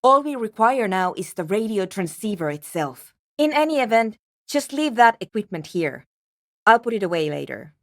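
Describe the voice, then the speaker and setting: A woman's voice: mid-range voice, big pitch swings, moderate pace, no echo. Video game role: pragmatic female programmer, blunt and formal, swinging intonation, very dry close sound. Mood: brisk, businesslike. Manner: dry, matter-of-fact, precise, formal wording. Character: frank, pragmatic, no-nonsense female radio engineer and programmer. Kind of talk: scripted video game character dialogue.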